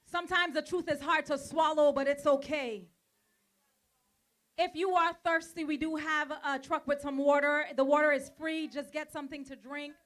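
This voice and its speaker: A woman emceeing an outdoor rally in a park, energetic and coaxing as she introduces the next speaker. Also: high voice, moderate pace. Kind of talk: emceeing and chant leading.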